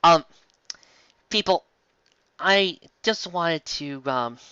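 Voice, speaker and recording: An excitable fan fiction reader with a bright, very animated delivery. The reading is theatrical and often breaks into exasperated commentary. The sound is close, dry and a little dulled. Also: medium pitch, drawn-out words, clear, resonant tone, no echo, highs cut off. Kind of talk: comedic dramatic reading with asides.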